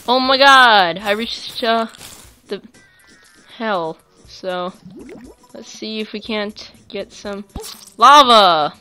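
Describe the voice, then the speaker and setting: Chatty bright-voiced woman gaming. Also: mid-range voice. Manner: chatty, excitable, talks to viewers. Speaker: gaming YouTuber. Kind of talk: let's play commentary.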